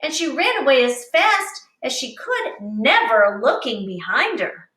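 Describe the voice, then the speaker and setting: A woman teacher reading a picture book aloud to young children with deliberate, explanatory expression. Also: high voice, big pitch swings, bright, forceful tone. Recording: echoey room, distant mic.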